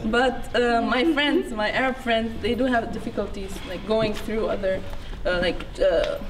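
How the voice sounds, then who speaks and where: A woman answering a street interview softly and hesitantly, filling pauses with "like". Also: on the high side, fairly even intonation, background noise. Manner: soft, hesitant, lots of "like". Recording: voice distant from the mic.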